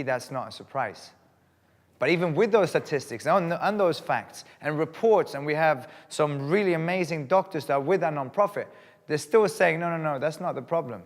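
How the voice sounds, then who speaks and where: A man from Sweden giving an earnest, emphatic personal speech to a live audience, repeating phrases for weight. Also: on the high side. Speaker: live event speaker. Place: event hall. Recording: long hall reverb.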